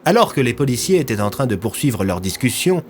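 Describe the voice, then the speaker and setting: A man's voice: medium pitch, very animated, brisk, a little hiss or hum. A man narrating a scripted audio drama as a storyteller.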